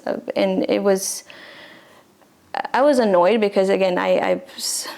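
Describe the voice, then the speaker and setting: A woman's voice: mid-range voice, creaky, darkish tone. A young woman from Bolivia answering interview questions earnestly, sometimes restarting her sentences.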